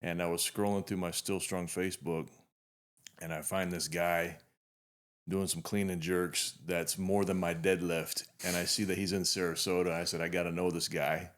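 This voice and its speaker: A man in his fifties hosting a casual podcast interview, talking in a relaxed, rambling way with frequent restarts. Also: deep voice, normal speed. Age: 50s. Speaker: podcast host.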